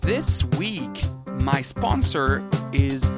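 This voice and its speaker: A man's voice: medium pitch, big pitch swings, drawn-out words, loud music behind the voice. A man hosting a podcast, talking casually to his listeners and introducing the show. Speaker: podcast host.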